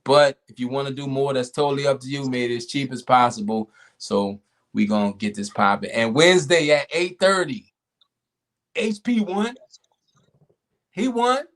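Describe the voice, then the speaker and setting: Easygoing male podcast host, a bit hoarse. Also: mid-range voice, moderate pace. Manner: laid-back, relaxed sign-off talk. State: losing his voice. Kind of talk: casual podcast chat.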